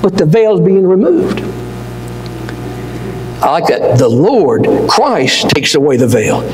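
Older man preaching a sermon from the pulpit, high and animated, with room echo behind him. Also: slight background noise. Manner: impassioned, rising to near-shouts, dropping low and slow. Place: church auditorium. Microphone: pulpit mic, wide far camera. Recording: echoey hall with long reverb.

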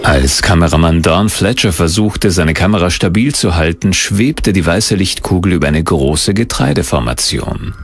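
A man's voice: low voice, animated, normal speed, hardly any pauses, faint music behind. A man narrating a scripted documentary.

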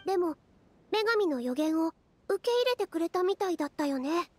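A woman voicing a tiny, childlike, cheerful fairy companion in a Japanese video game, excitable and simple in speech. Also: extremely high pitch, moderate pace, no echo. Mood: hopeful.

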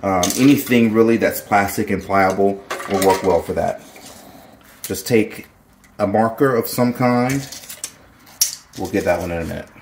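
Man, middle-aged, gardening host, relaxed chatty how-to, kitchen, slightly low pitch, faint noise. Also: measured pace, pauses often. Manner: relaxed, chatty, jokey asides. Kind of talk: casual how-to demonstration. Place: home kitchen, indoors.

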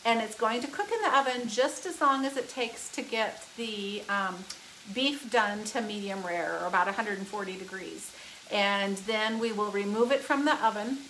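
A woman's voice: mid-range voice, normal speed, fairly bright tone, a little hiss or hum, poor audio. A woman in her fifties hosting a live cooking stream from her home kitchen, chatty and upbeat as she explains each step into a phone camera. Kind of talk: casual cooking demonstration. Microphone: phone camera, often held close, sometimes across the kitchen. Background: sizzling pan.

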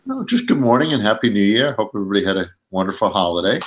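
A man's voice: lowish voice, lively intonation, very fast, dull audio, slight background noise. Man, co-host, remote, easygoing, supportive. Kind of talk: remote call-in chat.

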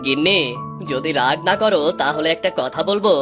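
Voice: medium pitch, fairly quick, over music, close to an everyday voice. An actor playing an easygoing village husband in a cartoon, grumbly but affectionate, with a folksy, exclaiming way of talking. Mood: coaxing, eager. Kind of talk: cartoon voice acting.

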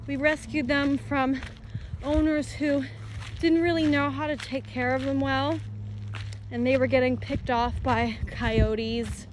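A woman giving a casual outdoor tour on a handheld phone, talking affectionately as if to animals. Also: high voice. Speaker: ranch owner giving a tour. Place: outdoors in an open dry pasture. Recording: noisy outdoor phone recording.

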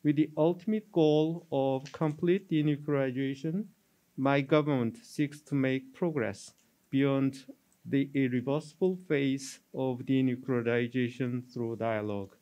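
A middle-aged man with a Korean accent moderating a diplomatic panel on a conference stage, speaking in measured, formal phrasing. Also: medium pitch, fairly even intonation, dark tone. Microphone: standing stage mic.

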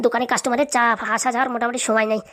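Woman voicing a frantic, high-pitched young cartoon schemer. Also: level tone, rapid-fire, a bit bright, close to an everyday voice. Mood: pleading, insistent. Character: harried young man, scheming, pleading lover and son. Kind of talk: comic cartoon dialogue.

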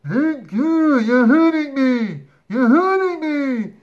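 Storybook baby elephant, male, trunk caught: very high, nasal, pinched and pleading. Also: expressive, takes it slowly, muffled recording, heavily acted. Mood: pained, pleading. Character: young elephant child with his trunk painfully caught.

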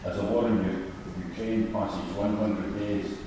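An older man reading a formal prayer in solemn, measured phrases at a church lectern. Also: fairly low pitch, little pitch movement. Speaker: church member leading prayers. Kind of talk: formal read-out intercessory prayer. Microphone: gooseneck lectern microphone. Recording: echoey church hall, distant, noisy.

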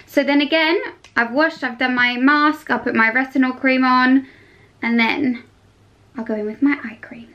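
A woman's voice: high-pitched, fairly even intonation, normal speed, lo-fi sound. A young woman chatting enthusiastically and emphatically to camera in a casual skincare review style, as a beauty vlogger. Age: young adult. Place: small furnished bedroom, indoors.

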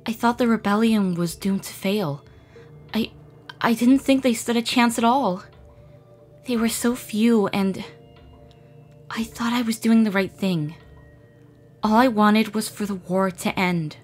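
A woman plays a regretful young female gem in a dramatic cartoon dub. The voice sits at a medium pitch, guarded and wistful, over background music. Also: a little mellow, natural voice. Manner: subdued, wistful, halting.

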